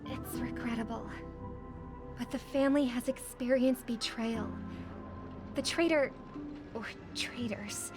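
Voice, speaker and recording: A woman playing an earnest, graceful young singer in a video game, speaking in a measured, formal and gentle way. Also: a little high, fairly even intonation. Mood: regretful, resolute. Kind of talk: scripted video game voice acting. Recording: music behind the voice.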